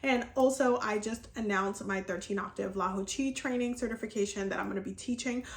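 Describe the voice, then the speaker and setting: A woman's voice: medium pitch, fairly quick. Chatty Dominican-born woman in echoey room. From Dominican Republic. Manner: chatty and upbeat, with frequent fillers and laughs. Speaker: herbalism and energy healing teacher. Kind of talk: casual video chat to viewers.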